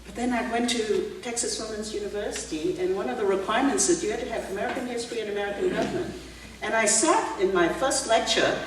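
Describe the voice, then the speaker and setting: Woman in her sixties, echoey hall. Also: a little high. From Burma. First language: Burmese. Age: 60s. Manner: anecdotal, laughing asides, rhetorical questions. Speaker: political candidate. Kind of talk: candidate forum answer. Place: museum event hall. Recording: echoey hall, distant mic, background noise.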